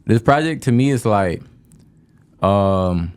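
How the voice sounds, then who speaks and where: Laid-back young rapper, low voice. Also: male voice, expressive, slow pace, darkish tone, clean tone. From Detroit, raised in Atlanta. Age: 20s. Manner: laid-back, rambling, filler-heavy with frequent cursing. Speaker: rapper guest. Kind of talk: casual interview chat.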